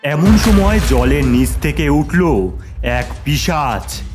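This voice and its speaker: A man's voice: a little high, expressive, slow, over music. A man narrating a scripted children's story in a dramatic storytelling manner.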